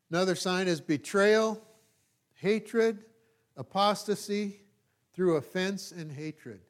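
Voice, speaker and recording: A male pastor from California with a Californian American accent and a voice pitched a little above average. He preaches in a loose, conversational way, folksy and self-deprecating. He often halts, then checks that his listeners are following. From California, United States. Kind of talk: informal, conversational sermon.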